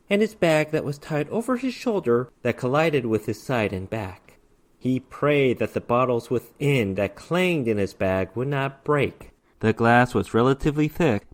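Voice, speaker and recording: A man narrates a short story as a dramatic reading. His pitch roams widely up and down in a theatrical, formal storytelling style. The voice sits in a middle register. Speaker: story reader.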